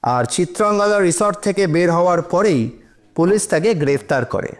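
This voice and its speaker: An actor playing an earnest, assured police officer pleading in court in an audio drama, with formal, deliberate, persuasive oratory. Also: male voice, sits high, expressive. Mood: measured, persuasive.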